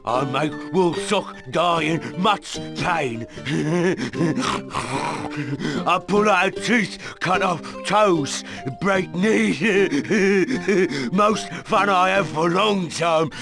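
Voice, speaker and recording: A man voicing a gluttonous, sadistic pig-like warlord in a video game, gloating crudely in loud broken speech. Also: fairly high pitch, expressive, drawn-out words, creaky, few pauses, full character voice. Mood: gleefully sadistic. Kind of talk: video game villain acting. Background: guitar music.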